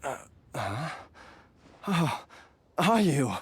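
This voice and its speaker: A dazed, remorseful father from a video game. He speaks extremely slowly and haltingly, with a swaying, expressive pitch. There is faint noise behind him. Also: heavily acted. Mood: dazed, sorry. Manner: halting, apologetic. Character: dazed, remorseful father recovering his memory.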